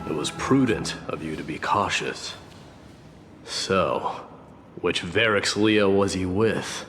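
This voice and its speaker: An actor playing a proud, stern crown prince in a video game, speaking in a formal, measured, commanding way. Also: male voice, low voice, in a large hall, over music. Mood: reserved concern.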